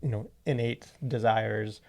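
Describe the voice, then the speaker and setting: A man's voice: fairly low pitch, steady tone, a little slow, creaky, darkish tone, no room sound. A man co-hosting a podcast, chatting casually about pop culture in an informal, rambling, filler-heavy way. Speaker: podcast host.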